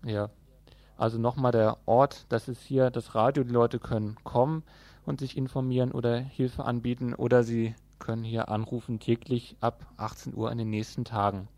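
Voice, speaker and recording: A man's voice: medium pitch, flat delivery, moderate pace, a little mellow, no room sound. A man presenting on radio, giving announcements in an informal way from a studio.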